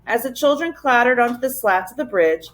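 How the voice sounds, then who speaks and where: Higher-pitched woman reading a children's story. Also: animated, brisk, a bit bright. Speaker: teacher reading aloud to pupils. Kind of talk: expressive children's storybook narration.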